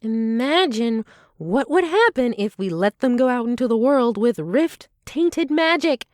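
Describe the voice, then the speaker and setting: A woman playing a reassuring yet coolly ruthless lab partner in an audio drama, measured and firm. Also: fairly high pitch, expressive, dry recording, studio quality. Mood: alarmed, insistent. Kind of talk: audio fiction character acting.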